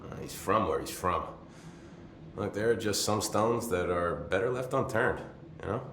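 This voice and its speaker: Game role: friendly chatty male guard, lively melody, casual delivery, roomy echo around him. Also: on the low side. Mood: amused, candid. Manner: casual, easygoing, drawn-out hesitations. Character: friendly, chatty rank-and-file agency security guard. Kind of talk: video game cutscene acting. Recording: roomy echo, faint background noise.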